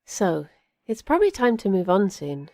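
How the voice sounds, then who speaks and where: A woman's voice: medium pitch, big pitch swings, normal speed, a little mellow, no echo, clean studio sound. A woman playing a cheerful, chatty young witch in an audio drama, rambling a little hesitantly and eager to please. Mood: easygoing. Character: cheerful, chatty young witch, tall rabbit-eared druid companion. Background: music.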